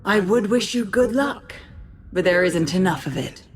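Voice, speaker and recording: A woman's voice: medium pitch, lively intonation, normal speed, a little hiss or hum, lightly acted. An actress playing a possessed Jedi master in a video game, coldly menacing, ominous and theatrical in her delivery. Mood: cold menace. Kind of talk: video game villain acting.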